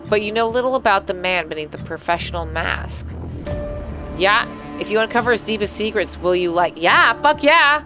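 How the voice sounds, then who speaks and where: A woman streaming a let's play, reading a visual novel aloud with playful, dramatic, giggly asides. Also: medium pitch. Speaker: gaming streamer. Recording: music behind the voice. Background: game music.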